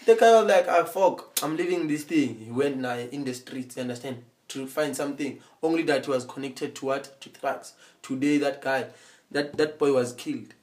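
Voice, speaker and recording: A man with a middle-pitched voice speaking in a casual conversation. His speech is halting and repetitive, and he keeps checking that the listener is following him. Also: darkish tone.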